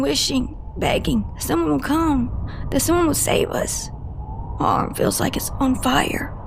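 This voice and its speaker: Woman acting a haunted, gravelly-edged female agent. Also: high-pitched, a little hiss or hum. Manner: halting, emotional, breaking off mid-sentence. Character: haunted adult female cryptid agent, grieving, guarded. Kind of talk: audio drama acting, confessional storytelling.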